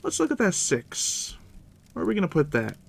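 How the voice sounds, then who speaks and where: A man doing a soft, deep-whispered ASMR roleplay as a game master, unhurried and reassuring, speaking directly to the listener up close. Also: huge pitch swings, vocal fry. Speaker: game master guiding a new player.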